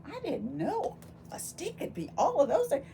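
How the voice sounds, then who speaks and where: A woman reading a picture book aloud to young children, expressive and full of wonder, pausing to ask them questions. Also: very high voice, vocal fry, slight background noise. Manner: animated, exclaiming with gasps and wonder, addressing young children. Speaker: volunteer children's read-aloud storyteller.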